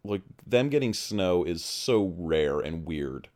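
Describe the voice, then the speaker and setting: A man's voice: low-pitched, expressive, takes it slowly, darkish tone. A young man from the mid-Atlantic US chatting casually, blunt and swearing, laughing at his own jokes. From mid-Atlantic United States. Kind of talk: casual podcast chat.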